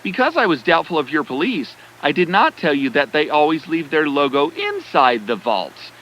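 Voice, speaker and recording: A man playing a confident, sharp young detective in an animated story, speaking deliberately and with authority. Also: on the high side, a little hiss or hum, low-quality recording. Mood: confident explaining. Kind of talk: dramatic cartoon story acting. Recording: background music under the voice.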